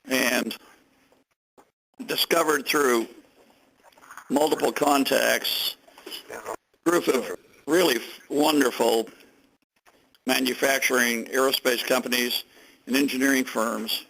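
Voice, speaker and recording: An older man, a company executive, gives remarks on a conference phone call in measured phrases with pauses. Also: medium pitch, gravelly, fairly bright tone, a little hiss or hum. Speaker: company executive being interviewed. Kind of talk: conference call remarks, recounting his business.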